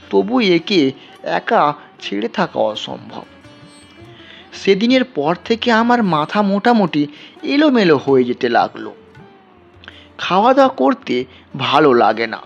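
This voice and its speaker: Male storyteller, dramatic scripted narration, lively swings in pitch, music underneath. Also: vocal fry, breathy edge. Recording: dull, lo-fi sound.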